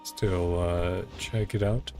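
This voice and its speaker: A man's voice: sits low, fairly even intonation, slow pace, warm tone. A man giving casual gameplay commentary, thinking aloud in short, musing fragments. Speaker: gamer commenting on own playthrough. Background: game music.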